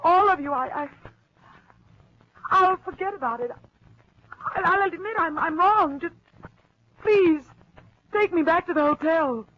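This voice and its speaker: Young woman in peril, radio noir; high-pitched, earnest, halting, stubborn yet fragile, muffled vintage broadcast sound. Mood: pleading. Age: young adult. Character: determined, distraught young woman disbelieved by everyone. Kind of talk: 1940s radio crime drama acting. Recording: muffled, noisy old-time radio recording.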